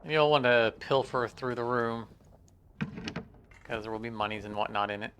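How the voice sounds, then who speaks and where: A man's voice: medium pitch, moderate pace, fairly bright tone, a little hiss or hum. A man hosting a gaming video, giving a casual, informal, explanatory walkthrough. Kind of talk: casual game walkthrough commentary.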